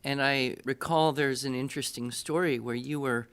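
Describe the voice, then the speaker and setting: A middle-aged man hosting a podcast interview, speaking conversationally in deliberate, broken-up phrases. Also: mid-range voice, fairly even intonation, fairly bright tone, smooth.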